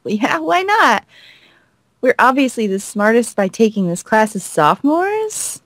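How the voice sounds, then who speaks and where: A woman co-hosting a gaming video, playfully acting out visual novel dialogue in an expressive, teasing, giggly way. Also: a little high, a little slow, dry recording.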